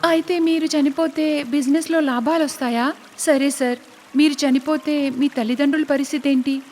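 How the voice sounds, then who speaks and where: A woman's voice: sits high, steady tone, moderate pace, a little mellow, a little hiss or hum, pitched above the performer's own voice, close to an everyday voice. A woman voicing a plucky young village vegetable seller in a cartoon story, speaking earnestly and respectfully. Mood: earnest, encouraging. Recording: long reverb, music behind.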